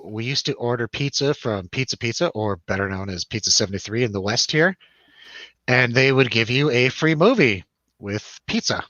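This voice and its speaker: A man's voice: mid-range voice, lively intonation, normal speed, sharp tone, dry recording, dull audio. A man in casual, rambling conversation about films, telling stories animatedly and swearing freely. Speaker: film review show host. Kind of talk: casual chat, film review over drinks.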